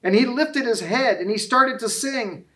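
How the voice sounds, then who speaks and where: A man with a fairly high voice reads a children's storybook aloud. He delivers the rhymed narration expressively, following the lilt of the verse. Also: a little slow. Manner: expressive, sing-song verse reading.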